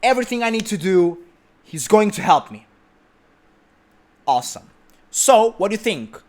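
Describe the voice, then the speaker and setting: A man's voice: high voice, very animated, moderate pace, darkish tone. A young man giving an emphatic motivational talk, repeating his points and often asking "right?". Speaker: motivational speaker. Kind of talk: motivational monologue.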